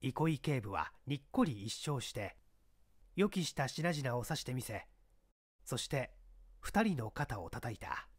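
A man's voice: medium pitch, big pitch swings, unhurried, husky. A man reading a detective story aloud as a scripted literary narration. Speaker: audiobook narrator.

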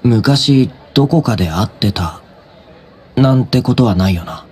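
A man playing a blunt, laid-back teenage high school boy in a Japanese anime game, with casual, gruff, slangy phrasing. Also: animated, a little slow, mellow. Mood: hesitant.

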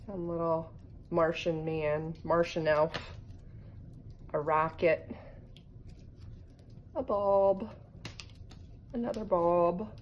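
A woman's voice: lowish voice, lo-fi sound. A middle-aged woman reading a children's picture book aloud with casual asides. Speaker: read-aloud reader for children. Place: living room by a fireplace. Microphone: phone propped in front of her. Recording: home phone recording with background noise.

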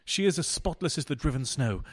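A man's voice: fairly high pitch, lively intonation, a little fast. A man playing a pompous, self-pitying philandering husband in a radio play, speaking floridly. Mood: pompous, earnest. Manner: earnest, florid, grandiose. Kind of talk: stage-style radio play acting.

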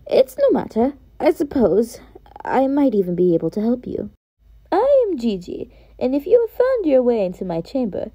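A woman voicing a drowsy, cryptic bug confessor from a video game, mysterious and measured. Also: a little high, dark tone, dry recording, exaggerated voice. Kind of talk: fan dub of video game dialogue.

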